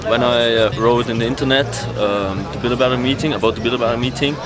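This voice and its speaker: Halting Austrian man at a street protest. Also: medium pitch, over music. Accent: German-speaking Austrian. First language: German. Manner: halting, many fillers, searching for English words. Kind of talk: street interview.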